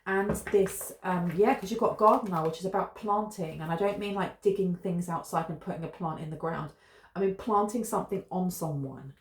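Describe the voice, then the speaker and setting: A woman's voice: lowish voice, moderate pace. A British woman giving a casual tarot reading to camera, thinking aloud in a meandering, musing way with fillers. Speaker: tarot reader. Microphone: camera close in front. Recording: echoey room.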